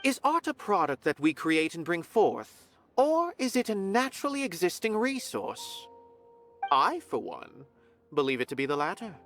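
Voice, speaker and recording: A haughty, mysterious onlooker in a game who judges everyone he meets. He muses in a lilting, unhurried voice full of quiet condescension. Faint music runs beneath. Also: high voice, sing-song, heavily acted. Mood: musing. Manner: slow, musing, haughty. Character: aloof, mysterious theater observer, condescending. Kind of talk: game voice acting.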